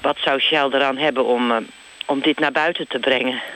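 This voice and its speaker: A man's voice: mid-range voice, steady tone, a little fast, sharp tone, slight background noise, lightly acted, poor audio. A man playing a flustered, vague executive's wife who talks hesitantly and evasively in a mock radio interview.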